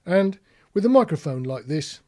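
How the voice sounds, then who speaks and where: A man's voice: a little high, sing-song, moderate pace, a little mellow. A British man giving an informal, practical tutorial, explaining things plainly with anecdotes as an instructor. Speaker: marine radio instructor.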